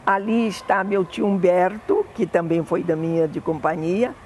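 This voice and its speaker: An older woman with a Brazilian Portuguese accent, fondly recalling family memories in an oral history interview, often pausing to correct herself. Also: fairly low pitch, steady tone, darkish tone, a little hiss or hum. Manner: fond, meandering reminiscing with self-corrections.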